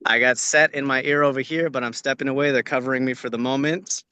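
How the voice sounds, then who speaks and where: Working actor, male, American. Bright, forceful tone, earnest emphatic delivery, casual Q&A. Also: mid-range voice, fairly even intonation, fast, clear, resonant tone, dry recording. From Orange County, California. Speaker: working actor answering questions. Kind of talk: casual spoken advice to acting students. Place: outdoors on location, on a video call.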